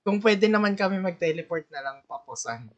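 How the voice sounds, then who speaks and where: A woman's voice: fairly low pitch, expressive, a little fast, muffled recording. A woman with a Filipino accent giving casual, playful gameplay commentary, teasing and chatting fondly to the characters. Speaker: game streamer.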